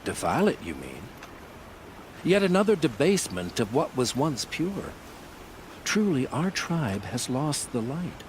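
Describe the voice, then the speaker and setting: An actor playing a bitter old priest in a video game, declaiming pompously like a zealot. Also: male voice, medium pitch, huge pitch swings, unhurried, a little hiss or hum. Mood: bitter, scornful. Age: older.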